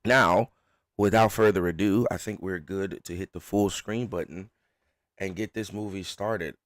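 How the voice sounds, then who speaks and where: A man's voice: deep voice, fairly even intonation, a little slow, no echo. A man hosting a reaction live stream, chatting in an informal, rambling, self-effacing way. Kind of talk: casual live stream chat.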